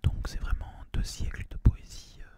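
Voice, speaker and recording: A young man from France hosting ASMR, whispering breathily to viewers in a soothing, deliberate manner. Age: young adult. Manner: soothing, deliberate, repeats phrases softly. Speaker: ASMR video host. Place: small student apartment, indoors.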